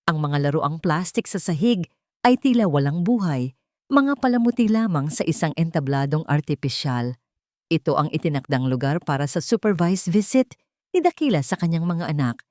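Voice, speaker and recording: A woman's voice: low-pitched, big pitch swings, moderate pace, a little mellow, no room sound, clean studio sound. A woman with a Filipino accent reading a scripted, dramatic story as narrator.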